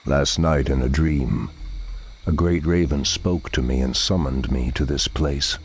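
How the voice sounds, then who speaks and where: Deep, gravelly elf druid. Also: male voice, unhurried, slight background noise. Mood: wary. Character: stern, righteous male elf druid leader. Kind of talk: video game cinematic acting.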